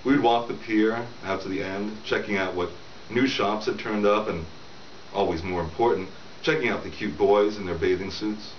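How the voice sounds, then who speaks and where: A man's voice: lowish voice, moderate pace. A middle-aged man reading his novel aloud in a conversational storytelling way, as the author, in a room at home. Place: small room at home, indoors. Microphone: camera several feet away. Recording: roomy, distant camera mic, dull with hiss.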